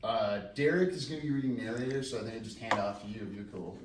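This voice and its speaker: A man's voice: lowish voice, fast talker. A man giving a casual, rambling introduction as a scriptwriter, with a self-deprecating, joking manner. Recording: echoey room, distant mic, faint noise.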